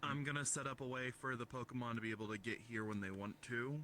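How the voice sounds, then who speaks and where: Bright-toned man on noisy voice chat. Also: mid-range voice, little pitch movement, quick pace. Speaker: roleplay participant. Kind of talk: improvised in-character roleplay chat. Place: online voice chat in a virtual world. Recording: faintly noisy online voice chat.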